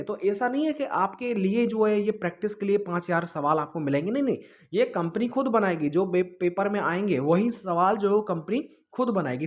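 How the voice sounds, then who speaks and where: A man teaching exam students informally online, explaining things with repetition and check-in questions, mixing in English words. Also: high-pitched. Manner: explanatory, repeats himself, with check-in tags; mixes English words into speech.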